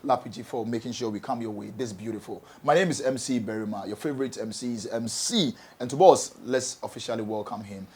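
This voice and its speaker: A man's voice: mid-range voice, fairly quick, few pauses, darkish tone, a little hiss or hum. A man with a Ghanaian accent hosting an entertainment talk show and presenting music news. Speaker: show host and MC.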